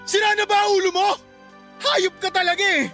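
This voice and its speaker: A young Filipino man enraged at betrayal in a radio drama. His voice is very high, forceful and full of taunting accusations. Music plays underneath. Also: little pitch movement, normal speed. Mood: furious, hurt. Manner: shouting, accusing, taunting questions.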